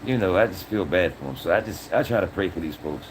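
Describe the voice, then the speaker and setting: A man's voice: low voice, lively intonation, quick pace, noisy background. A man in his sixties talking casually to his livestream chat, earnest and repeating his points, often ending phrases with "man". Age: 60s. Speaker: livestream host.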